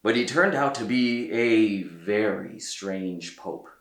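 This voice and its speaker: A man reading a children's story aloud as a storyteller, with theatrical delivery and deliberate pauses. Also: on the low side, animated, a bit bright, roomy sound. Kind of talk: expressive read-aloud narration for children.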